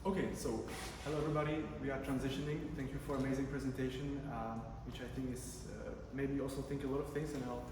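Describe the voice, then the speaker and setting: A man's voice: medium pitch, fairly even intonation, fast talker, few pauses. Man presenting in an echoey hall. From Czech Republic. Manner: conversational, many fillers and restarts. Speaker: conference presenter, PhD researcher. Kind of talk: academic conference talk. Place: large conference room. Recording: echoey hall from far off, room noise.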